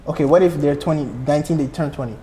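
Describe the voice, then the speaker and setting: A man's voice: fairly high pitch, steady tone, very fast, dark tone, slight background noise. A man in his early twenties in a casual group debate, using slang and repeating himself to press his point. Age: early 20s. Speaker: podcast co-host. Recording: echoey hall-like reverb.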